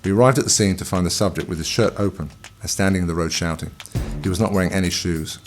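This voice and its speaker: A man speaking as a police officer, giving a calm, matter-of-fact account of an incident. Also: low-pitched, fast talker, soft background music. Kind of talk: scripted narration.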